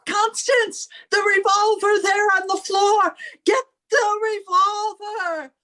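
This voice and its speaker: A high, slow, theatrical voice for a wealthy, vengeful older spinster , acted by a woman. She is imperious and controlling, with the heavy emphasis of old time radio melodrama. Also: fairly even intonation, sharp tone, full character voice. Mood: alarmed.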